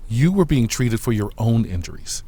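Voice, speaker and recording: A man playing a loyal, modest, watchful aide and bodyguard in a science fiction audio drama, speaking in a measured, formal, earnest way. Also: medium pitch, big pitch swings, dark tone, slightly rough. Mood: sober, reflective.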